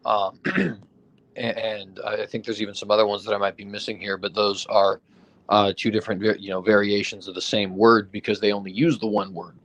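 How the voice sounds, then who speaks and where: Low-voiced man explaining, a bit foggy. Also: fairly even intonation, brisk, fairly bright tone, rough recording. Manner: explains with examples, hedging, frequent "right?" and fillers. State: recovering from illness, foggy. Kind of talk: casual discussion.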